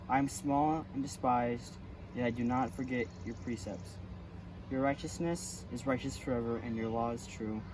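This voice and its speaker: Noisy recording, man, medium pitch, scripture reading. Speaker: Bible reader. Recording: clear background noise, urban ambience.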